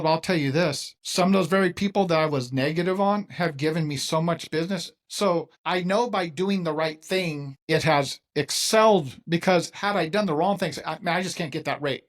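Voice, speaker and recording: Folksy fifties guy hosting an interview, emphatic, kinda high voice. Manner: emphatic, folksy, acts out other people's lines. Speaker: podcast host. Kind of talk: casual interview chat.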